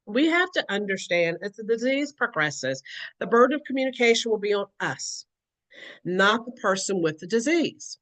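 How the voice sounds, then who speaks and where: A woman's voice: medium pitch, expressive, normal speed, fairly bright tone. Woman, educator, earnest explanatory talk, acts out examples.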